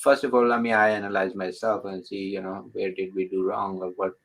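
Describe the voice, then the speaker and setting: A man hosting an interview who talks haltingly, restarting his sentences and adding encouraging remarks. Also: sits low, flat delivery. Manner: halting, with many restarts and filler words. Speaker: host.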